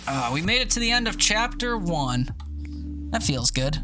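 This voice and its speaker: A man with a slightly high voice chats to his livestream viewers over clearly audible music. He sounds tired and sore-throated, and rambles casually with some swearing and self-deprecation. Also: sing-song, normal speed. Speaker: streamer reading a visual novel aloud.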